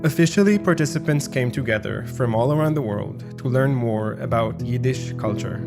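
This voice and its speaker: A man hosting and narrating a podcast in a calm, measured, reflective way. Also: medium pitch, vocal fry. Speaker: podcast host. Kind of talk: scripted narration. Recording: narration over guitar music.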